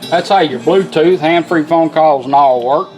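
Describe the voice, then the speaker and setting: A man's voice: a little high, moderate pace, darkish tone, soft background music. A man giving an informal hands-on product tutorial in a folksy, step-by-step way. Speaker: product demonstrator.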